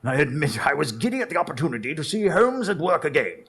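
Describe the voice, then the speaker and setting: Male actor as loyal, easily indignant sidekick, radio drama narrator, earnest and formal, fairly high voice, fry-edged. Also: sing-song, quick pace, fairly bright tone, heavily acted. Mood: reflective narrating. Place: small theatre stage, recorded live in front of an audience.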